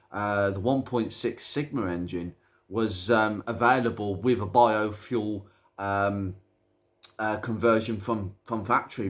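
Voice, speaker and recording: A British man with a slightly croaky voice from hay fever, presenting an informal car video in a chatty, hesitant way with rambling asides. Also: on the low side. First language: English. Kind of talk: informal car video commentary, reading out a viewer's message.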